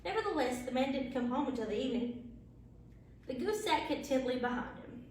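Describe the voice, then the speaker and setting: A woman reads a children's bedtime story in a high, lively voice that moves up and down expressively. She sounds some way from the microphone in a very echoey room, telling it as a storyteller would. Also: brisk. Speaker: library storyteller. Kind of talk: bedtime story read aloud. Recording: very echoey, distant, faint background noise.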